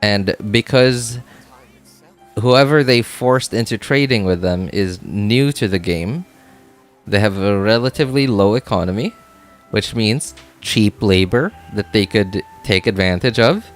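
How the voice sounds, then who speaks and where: A man's voice: fairly low pitch, expressive, unhurried, faint music behind. A man chatting casually on a game livestream, thinking aloud, repeating himself and often checking in with "right?".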